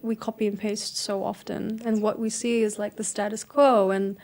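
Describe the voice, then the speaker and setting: A woman's voice: medium pitch, level tone, normal speed, darkish tone. A young adult woman from South Africa, a guest in a casual interview, talking reflectively and chattily and laughing easily.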